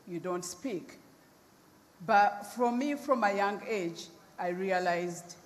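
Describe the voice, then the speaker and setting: A middle-aged woman with a deep voice, speaking loudly and forthrightly as a panelist telling her own story. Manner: loud, emphatic, forthright. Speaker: panelist, nonprofit founder. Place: large conference hall. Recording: reverberant hall, faint background noise.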